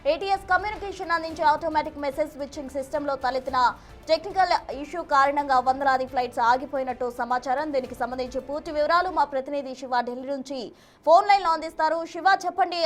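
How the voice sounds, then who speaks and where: High-voiced woman news anchor. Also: fairly bright tone. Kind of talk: breaking news presenting. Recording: music bed under the voice. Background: news music.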